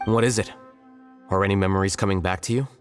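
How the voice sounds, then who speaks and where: A man acting as a composed, rational advisor in a video game. His voice is lowish and measured, with faint music behind it. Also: fairly even intonation, close to an everyday voice. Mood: matter-of-fact.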